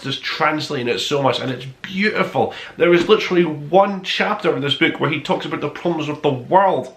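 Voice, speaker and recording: Male review-show host, bright forceful voice, gushing and emphatic, recorded in a roomy space. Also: on the high side. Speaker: host of a book review podcast. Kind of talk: casual review to camera, top five countdown. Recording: roomy, echoey indoor sound.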